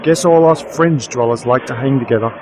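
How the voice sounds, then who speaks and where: A man's voice: medium pitch, fairly quick, darkish tone, natural voice, low-quality recording. A man playing a weary, sardonic werewolf private investigator, narrating a noir radio drama in a dry, wry manner. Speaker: narrator and lead.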